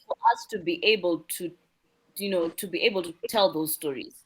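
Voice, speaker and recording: A woman's voice: lowish voice, fairly quick, crowd noise, rough recording. Earnest Zimbabwean woman, frequent "right?". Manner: earnest, repeats words when searching, frequent tag "right?". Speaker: guest, youth non-profit founder. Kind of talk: conversational interview.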